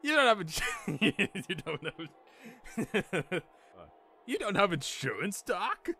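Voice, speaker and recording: A man's voice: very high voice, sing-song, measured pace, a bit bright, voice pushed up, exaggerated voice. A man voicing a nervous, inquisitive teenage boy sidekick who stammers anxiously. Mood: incredulous.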